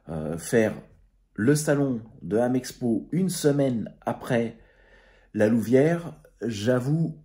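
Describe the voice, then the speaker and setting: A man with a fairly low, very mellow voice talks off the cuff as a video host. He feels his way through his sentences, often hesitating, and keeps it informal and chummy, like talking to mates. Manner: hesitant, groping for words. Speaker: amateur radio video host. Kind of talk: casual unscripted recap talk to followers.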